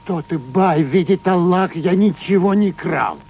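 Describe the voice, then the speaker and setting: A man playing a humble, earnest, grateful poor shepherd in an animated cartoon. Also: measured pace, gravelly, husky, heavily acted. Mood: protesting innocence. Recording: dull noisy old cartoon soundtrack with rumble.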